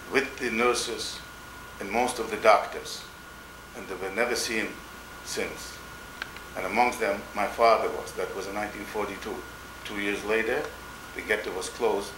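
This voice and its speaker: Deep-voiced older man, halting testimony. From Poland. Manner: halting, slow, repeats words and restarts. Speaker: interviewee, Holocaust survivor. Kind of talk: oral history testimony. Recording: echoey room with background noise.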